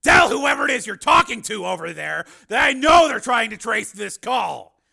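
A man voicing an angry, commanding renegade police lieutenant in an audiobook, with forceful, clipped, demanding delivery. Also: high-pitched, very animated, moderate pace, gravelly, breathy edge, pitched above the performer's own voice, heavily acted. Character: defiant male cyborg police lieutenant on the run, commanding, angry.

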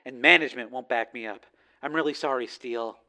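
Man voicing an earnest, determined woman reporter, bright tone. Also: mid-range voice, fairly quick. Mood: apologetic, frustrated. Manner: earnest, insistent. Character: determined female TV news reporter, earnest, loyal.

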